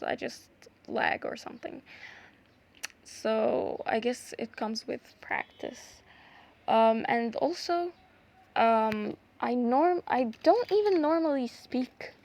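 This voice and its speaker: A young woman whose first language is Arabic, talking through a craft tutorial in a hesitant, casual way with many pauses and restarts. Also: a little high, vocal fry, a little mellow. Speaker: art vlogger.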